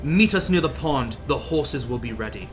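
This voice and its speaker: A man's voice: mid-range voice, animated, normal speed, faint music behind, natural voice. A man voicing a stern, suspicious knight in a comic fantasy script read. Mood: commanding.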